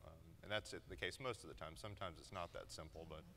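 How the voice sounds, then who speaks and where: Very deep-voiced man in a reverberant hall. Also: quick pace, bright, forceful tone, slight background noise. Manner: conversational, explains in plain terms. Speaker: panelist. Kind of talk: panel discussion. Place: large conference hall stage. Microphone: lapel.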